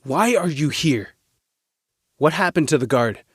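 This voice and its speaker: A man's voice: a little high, animated, unhurried, slightly rough, no room sound, clean studio sound. A man playing an earnest, guilt-ridden teenage son in a naturalistic audio drama. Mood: wary.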